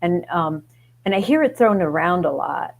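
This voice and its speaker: A woman city council member in an online book discussion, speaking in a measured way and repeating words as she thinks. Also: lowish voice, lively intonation. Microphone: video call.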